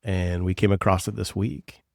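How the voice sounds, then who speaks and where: Man, very deep voice, gravelly, blunt teasing podcast coach, dry sound. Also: fairly even intonation, moderate pace, no echo. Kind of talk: casual podcast chat.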